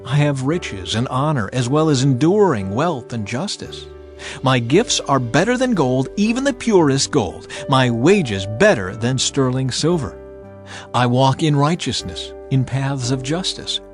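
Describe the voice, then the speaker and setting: A man's voice: medium pitch, sing-song, a little slow. A man voicing Wisdom, an ancient, commanding female spirit, in an earnest, declamatory dramatized scripture reading. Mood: proud, exalted. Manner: declamatory, proclaiming to a crowd. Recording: music behind the voice. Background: music.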